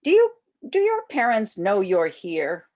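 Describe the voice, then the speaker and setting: A woman's voice: medium pitch, wildly swooping pitch, measured pace, a little mellow. A woman voicing a dismissive, reluctant male landlord in a children's picture book, hesitant and discouraging.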